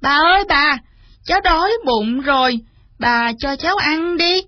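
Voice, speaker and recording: A woman's voice: high voice, lively intonation, a little slow, dry recording, dull audio, pitched above the performer's own voice. A woman voicing a young boy in a children's tale, pleading and tearful with remorse. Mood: anxious calling.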